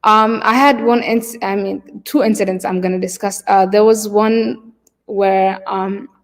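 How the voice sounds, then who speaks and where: Woman, keynote storyteller; halting, filler-heavy delivery; long reverberant hall sound. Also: medium pitch, steady tone. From Somalia. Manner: hesitant, many fillers and restarts. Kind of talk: personal storytelling.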